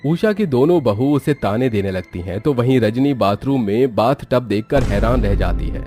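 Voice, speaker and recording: A man's voice: medium pitch, lively intonation, moderate pace, dark tone, soft background music. A man narrating a scripted moral story.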